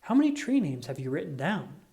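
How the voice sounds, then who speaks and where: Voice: mid-range voice, big pitch swings, fairly quick, mellow. A storybook reader voicing a dreamy, lovestruck little girl who gushes. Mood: gushing. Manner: gushing.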